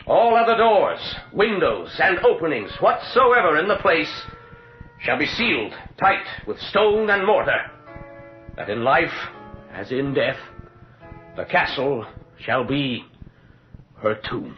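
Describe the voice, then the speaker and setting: An actor playing a stern high court president, solemnly declaiming sentences in a formal radio drama style. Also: male voice, big pitch swings. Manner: solemn, declamatory, measured phrasing. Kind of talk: 1980s radio drama acting, formal proclamation. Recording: echoey, dull old radio recording, music behind.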